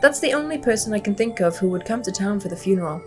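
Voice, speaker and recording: A woman's voice: medium pitch, lively intonation, quick pace, darkish tone, faint music behind, natural voice. A woman voicing a friendly, folksy, chatty young small-town woman in a visual novel game. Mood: concerned.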